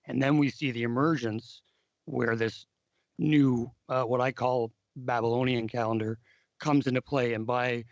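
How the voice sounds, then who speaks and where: A man hosting a casual talk show, hoarse from losing his voice, who often repeats words and restarts sentences. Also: mid-range voice, steady tone, a little slow, no echo, lo-fi sound. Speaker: podcast host. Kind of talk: casual discussion of biblical calendar history.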